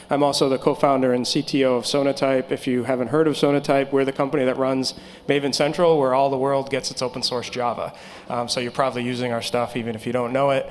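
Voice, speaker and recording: A man's voice: medium pitch, a little hiss or hum. A man panelist introducing his company in a matter-of-fact way. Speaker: panelist, tech company co-founder. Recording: hall reverb with faint ambience.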